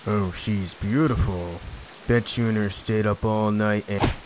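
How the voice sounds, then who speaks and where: A man's voice: fairly low pitch, steady tone, unhurried, natural voice. A man playing a callous, cynical soldier with a blunt, mocking way of talking in an audio drama. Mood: smirking. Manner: blunt, sardonic. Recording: muffled, noisy with rain-like hiss.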